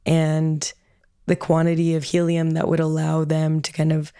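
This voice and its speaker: A woman artist talking reflectively about her work, with a low voice of limited range and frequent pauses and fillers. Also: monotone, mellow, clear, resonant tone, no echo, studio quality. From Canada. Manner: thoughtful, with frequent ums and hedging "kind of". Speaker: artist and audio producer. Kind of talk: reflective interview answer.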